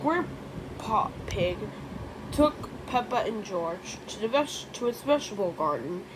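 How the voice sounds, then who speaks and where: A female reader telling a children's story aloud, halting and correcting herself as she goes, with informal asides. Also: mid-range voice, sing-song. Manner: halting, self-correcting reading. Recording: clear background noise.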